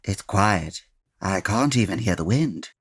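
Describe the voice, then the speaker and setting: A man playing a formal, earnest gentleman detective in an old-time radio comedy drama, with old-fashioned exclamations. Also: deep voice, animated, unhurried, slightly rough, dry recording, clean studio sound, heavily acted. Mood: uneasy.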